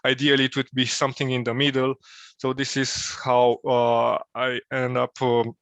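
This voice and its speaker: A man from Romania, telling his career story as an interview guest, thoughtful and pausing often with fillers. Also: medium pitch, completely flat delivery, fairly bright tone, no echo. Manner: thoughtful, many filler pauses.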